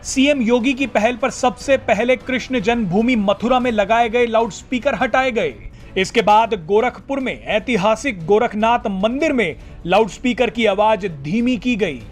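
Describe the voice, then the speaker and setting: A man narrates a scripted news report. His voice is pitched unusually high for a man, and faint music plays beneath his narration. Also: unhurried.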